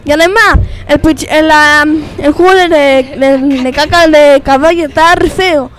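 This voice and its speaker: Woman playing a high-voiced, haughty princess. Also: steady tone, a little slow, a bit bright. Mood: indignant. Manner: indignant, demanding. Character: haughty, complaining royal princess dining out. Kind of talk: improvised comedy sketch. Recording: music and crowd noise behind.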